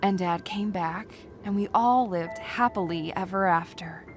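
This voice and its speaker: Casting an actress as a cynical young adventuress: sardonic, commanding, medium pitch, comic dub drama with prominent backing music. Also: female voice, a little slow, lightly acted. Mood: bitter sarcasm. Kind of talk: sci-fi comic dub acting.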